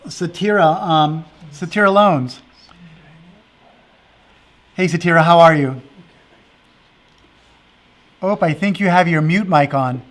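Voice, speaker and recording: High-voiced man hosting, meandering. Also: slow pace, pauses often, fairly bright tone. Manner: meandering, earnest, filler-heavy. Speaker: festival host interviewing dancers. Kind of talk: casual interview chat.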